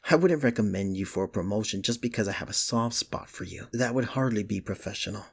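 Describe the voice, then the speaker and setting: A man playing a teasing, flustered office rival smitten with the listener, in an intimate ASMR romance roleplay with a husky, gravelly voice. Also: fairly low pitch, fast. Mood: defensive, flustered.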